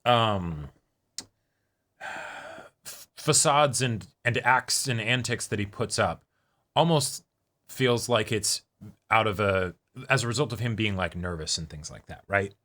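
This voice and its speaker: Male co-host for casual anime discussion, lowish and crisp-edged, tentative in how he puts ideas. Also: animated, unhurried, long pauses, pushed and bright. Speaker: co-host of an anime reaction channel.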